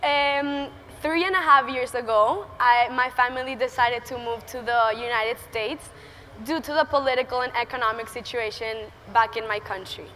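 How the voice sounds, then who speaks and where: An eighteen-year-old woman from Venezuela speaks with a Venezuelan Spanish accent and a high, bright voice. She sounds earnest and a little nervous. The talk echoes through a big hall with a faint hum underneath. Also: normal speed. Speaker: student entrepreneur speaker. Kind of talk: prepared conference talk. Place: large conference hall stage. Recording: reverberant hall with faint ambience.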